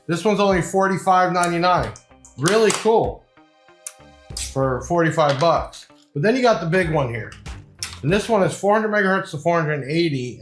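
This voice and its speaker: A man giving a casual, off-the-cuff product review, explaining things informally like a salesman. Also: fairly high pitch. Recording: echoey room, faint music behind.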